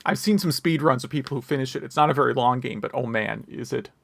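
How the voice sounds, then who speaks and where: Man, podcast host, casual news chat, rambling, gravelly fry in the voice, dry recording. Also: mid-range voice, quick pace, dry recording.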